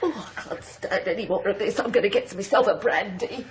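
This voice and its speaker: An actress playing a squeamish, excitable, well-bred British guest in a radio drama, fluttery and genteel. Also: female voice, medium pitch, fairly quick, natural voice. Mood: squeamish alarm. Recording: noisy background with clicks.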